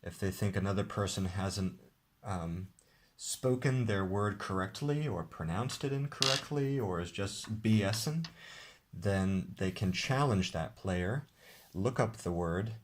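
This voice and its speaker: A man, the game's designer, walking viewers through how to play his card game in a relaxed, explanatory way. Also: low voice, a little slow. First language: English. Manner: relaxed, explanatory, with occasional restarts. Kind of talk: casual tabletop game demonstration.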